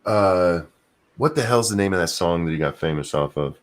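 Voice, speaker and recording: A man with a very deep voice, co-hosting a casual livestream chat, rambling and swearing freely while he hunts for names he has forgotten. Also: lively intonation, normal speed. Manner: profane, rambling, trails off searching for names. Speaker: podcast co-host.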